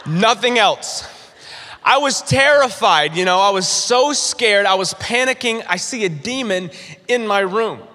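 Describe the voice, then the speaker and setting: A pastor from North Carolina preaching an energetic sermon, full of quick restarts and calls to the congregation. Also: male voice, sits high. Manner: energetic, stammering restarts, calls for audience response. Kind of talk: sermon with humorous storytelling. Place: large church auditorium. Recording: long reverb of a large hall.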